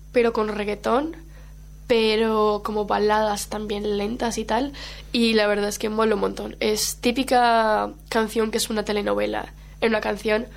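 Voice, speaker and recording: Chatty woman with a gravelly voice. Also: mid-range voice. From Colombia. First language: Brazilian Portuguese. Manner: chatty, laughs often. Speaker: guest. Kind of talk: casual chat.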